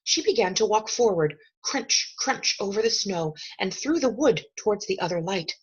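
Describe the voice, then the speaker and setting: Animated, husky woman reading a storybook. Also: mid-range voice, normal speed, dull audio. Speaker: storyteller reading a children's novel aloud. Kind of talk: expressive storybook narration.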